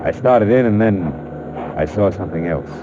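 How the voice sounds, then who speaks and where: An American actor playing a wry, tough private detective with slangy, wisecracking delivery in a 1940s radio drama. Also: male voice, low-pitched, expressive, normal speed, background noise. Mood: grim, wry narration. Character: wry, tough male private detective narrating his case. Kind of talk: 1940s radio acting, hardboiled detective narration. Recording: dull vintage radio recording, music behind.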